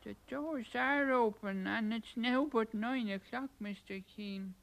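A man's voice: extremely high pitch, a little slow, creaky, a bit bright, exaggerated voice, rough recording. A man, playing a put-upon worker who protests to his boss in a vintage radio drama. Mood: protesting. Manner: protesting, pleading tone. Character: put-upon male worker, defensive and talkative.

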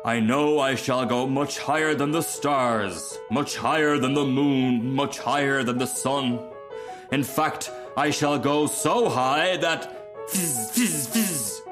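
A man voicing a haughty, self-important firework rocket in a storybook, making pompous, drawn-out pronouncements. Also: mid-range voice, very animated, music in the background. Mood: grandly excited. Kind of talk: storybook character reading.

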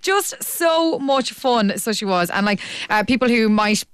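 A woman's voice: fairly high pitch, expressive, a little slow, clear, resonant tone, no room sound. A woman hosting a radio show, chatting casually with plenty of fillers in a studio. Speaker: radio host.

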